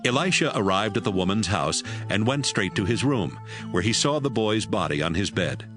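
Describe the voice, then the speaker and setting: Animated low-voiced male scripture narrator. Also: normal speed, over music. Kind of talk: scripted dramatized Bible narration.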